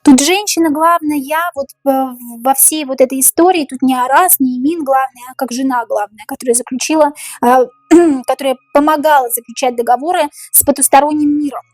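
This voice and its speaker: A woman with a high, tiring voice reads tarot cards aloud, slowly repeating each question and musing over what she draws. The sound is close and dry, with faint music underneath. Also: a little mellow, dry recording. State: voice tiring, going hoarse. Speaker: tarot reader. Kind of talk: tarot reading to camera, thinking aloud.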